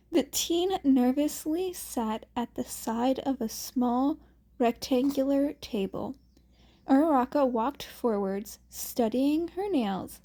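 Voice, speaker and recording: A woman's voice: high-pitched, mellow. A woman reading scripted fan fiction narration.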